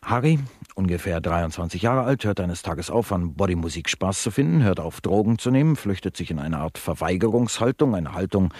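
Deep, slick radio talk-show host. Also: male voice, animated, brisk, darkish tone, no echo, clean studio sound. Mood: suave, matter-of-fact. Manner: smooth-talking, formal presenter address. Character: slick, unflappable male talk-show host of satirical dystopia. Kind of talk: radio play acting, presenter patter.

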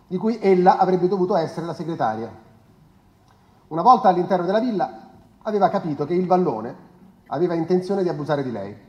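A formal documentary narrator played by a man reads an official report in measured speech. The voice is fairly high and rings in an echoey room. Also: darkish tone, lightly acted. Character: formal male narrator reading a police report.

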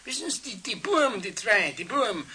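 An actor playing a helpful, nosy neighbour in a 1970s radio play, speaking hesitantly and folksily with a Bavarian accent. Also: male voice, sits high, sing-song, a little fast, bright, forceful tone, heavily acted. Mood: helpful, curious. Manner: hesitant, folksy, tagging sentences with questions. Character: helpful, talkative, nosy neighbour man, married. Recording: faintly hissy 1970s radio recording.

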